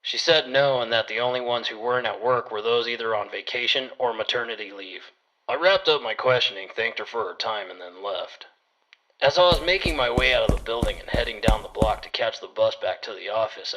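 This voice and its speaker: A man playing a private detective who narrates his case in a measured, matter-of-fact way. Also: lowish voice, over music, lightly acted, rough recording. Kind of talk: first-person detective story narration.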